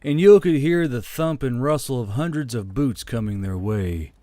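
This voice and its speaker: A man's voice: medium pitch, expressive, normal speed, mellow, no echo. Man narrating fiction, close and dry. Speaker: audiobook narrator. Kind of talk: scripted dramatic story narration.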